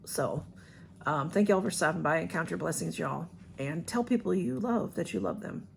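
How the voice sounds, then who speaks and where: A woman with a Southern US accent speaks casually to her camera at home, her voice a little nasal and stuffy. There's a gravelly, fry-touched edge as she rambles along in a soft, heartfelt way, and faint noise sits under the recording. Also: on the low side, expressive, moderate pace. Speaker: vlogger.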